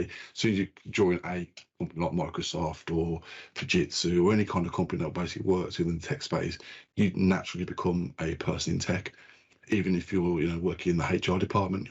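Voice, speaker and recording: A man's voice: low-pitched, a little mellow, slightly rough, muffled recording. A man hosting a podcast, chatting loosely and rambling, leaning on filler words. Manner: loose, rambling, repeats "obviously". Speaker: podcast host. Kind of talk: casual interview chat.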